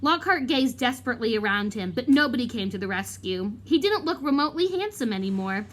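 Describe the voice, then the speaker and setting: A woman reading a children's novel aloud like a teacher, in an expressive, dramatic storytelling manner. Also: fairly high pitch, fairly quick, a little hiss or hum. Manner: animated, dramatic.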